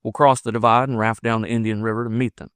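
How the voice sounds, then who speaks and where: A man voicing a belligerent but ardent gold-rush miner in an audiobook, forceful, emphatic and coaxing. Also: lowish voice, little pitch movement, fast talker, smooth, no room sound, clean studio sound, natural voice. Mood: reassuring, determined. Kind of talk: audiobook character dialogue.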